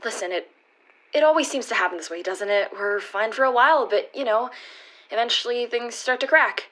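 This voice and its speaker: A woman playing an anxious, earnest girlfriend in a radio play, reasoning haltingly as she pleads to save a failing relationship. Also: on the high side, a bit bright, lightly acted, rough recording. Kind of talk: radio play acting, naturalistic dialogue.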